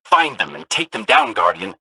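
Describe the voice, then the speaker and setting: Small robot storyteller, earnest, fretful, hugely swooping pitch, gravelly edge, video game. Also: male voice, huge pitch swings, normal speed, sharp tone, exaggerated voice. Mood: fretful, dramatic.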